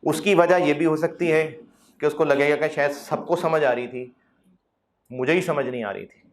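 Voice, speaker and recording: A male lecturer addresses his students in a classroom. His voice sits a little high, and he talks in a relaxed, conversational way, coaxing the class and teasing them with mock quotes. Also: normal speed. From Pakistan. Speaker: accounting lecturer.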